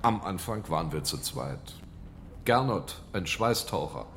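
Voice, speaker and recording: A man's voice: sits low, animated, measured pace, a bit bright, slight background noise, full character voice. An actor playing a sardonic, unhinged oceanologist in a radio horror drama, mock-polite and menacing as he taunts people. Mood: musing, sardonic. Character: sardonic, unhinged male oceanologist holding strangers at gunpoint. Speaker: stranded researcher and captor.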